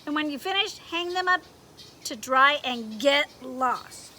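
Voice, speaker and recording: A woman's voice: sits high, animated, a little slow, bright, forceful tone, a little hiss or hum, heavily acted. A woman voicing a stern, mocking conscience that scolds and interrogates, in a storybook read-aloud. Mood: stern ordering. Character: scolding, bossy conscience, a voice inside the head.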